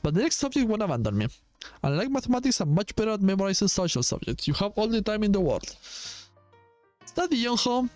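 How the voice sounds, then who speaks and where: A man voicing a cute, energetic teenage schoolgirl in a video game, chatty and dramatic. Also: high voice, fast talker, no echo, faint music behind, pitched above the performer's own voice. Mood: dramatic, determined.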